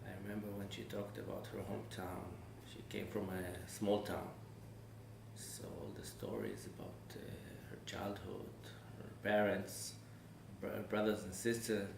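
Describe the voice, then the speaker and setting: Low, gravelly Israeli man, halting speech. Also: level tone. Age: 40s. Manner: halting, searching for words, repeats word beginnings. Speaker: interviewee sharing family history. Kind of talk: personal oral-history recollection. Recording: echoey room with faint background noise.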